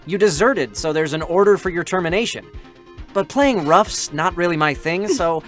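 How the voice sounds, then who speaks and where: A man's voice: high-pitched, lively intonation, soft background music. A man voicing a young male android scanner who teases and banters playfully. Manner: playful, teasing, quick banter.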